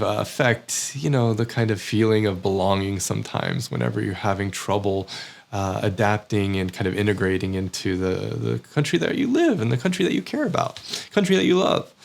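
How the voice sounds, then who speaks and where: A man with an American accent, talking in a hesitant, reflective way with many pauses and self-corrections. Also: mid-range voice, gravelly. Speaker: academic vlogger. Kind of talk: casual reflective monologue.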